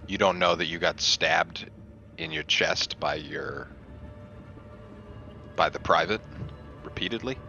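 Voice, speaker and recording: A man's voice: extremely low pitch, slow, vocal fry, pauses often, bright, forceful tone, soft background music. A man chatting casually as a player in a tabletop game, on a slightly lo-fi voice channel.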